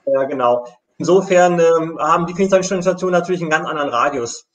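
A man's voice: fairly high pitch, fast talker, muffled recording. A middle-aged man explaining things step by step on a live video call, as an air rescue station manager. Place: room at home.

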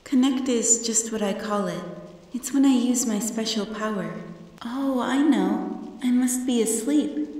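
A woman playing a sad, gentle young mystic in a video game fan dub, apologetic in manner. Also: fairly high pitch, unhurried, close to an everyday voice. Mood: regretful. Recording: very echoey, long reverb, distant.

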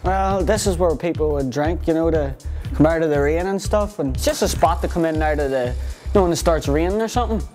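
A man's voice: a little high, quick pace, a little mellow, faint music behind. A young man, rambling through a documentary interview with many fillers. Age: young adult.

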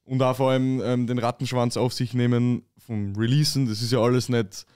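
A male podcast host with an average-pitched voice. He asks questions in an easygoing way and nods along quickly with his guests. He is recorded close on a boom-arm podcast mic in a small studio room. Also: flat delivery, darkish tone, smooth, no echo. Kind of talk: casual podcast interview. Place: small studio room with posters, seated at table.

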